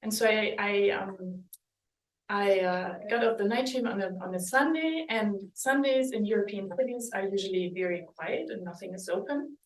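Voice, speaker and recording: A woman from Germany giving an academic book talk as a historian, speaking thoughtfully with pauses and restarts. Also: mid-range voice. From West Germany. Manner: thoughtful, hesitant, with restarts and ums. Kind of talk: academic lecture with slides. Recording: roomy, mic at a distance.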